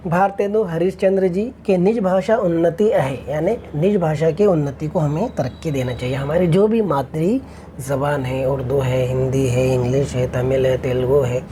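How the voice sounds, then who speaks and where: Woman teacher, low mellow voice, patient and repetitive, checks in the class often, faint hiss behind. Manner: explains slowly, repeats phrases, asks students if they follow. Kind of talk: online class lecture.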